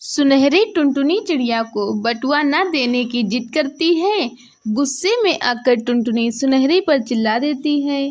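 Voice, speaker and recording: Slow, high-voiced woman storyteller. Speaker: narrator. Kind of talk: scripted children's story narration.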